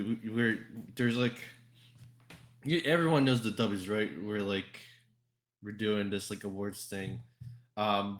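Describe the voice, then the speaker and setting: A man hosting a casual group podcast, talking in a halting, repetitive way with casual swearing. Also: medium pitch.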